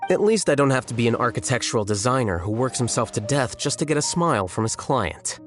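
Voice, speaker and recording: A young man voicing a cool, smug, logical scholar in a video game, measured and deadpan. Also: medium pitch, close to an everyday voice. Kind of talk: understated video game voice acting. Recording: studio voice over game music. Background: game music.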